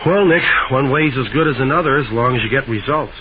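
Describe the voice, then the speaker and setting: A man's voice: medium pitch, fast talker, a crowd in the background, natural voice. An American man playing a plain-spoken, slangy detective's sidekick in a 1940s radio drama, folksy and easily impressed. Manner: folksy, wondering. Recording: tinny, noisy old radio recording.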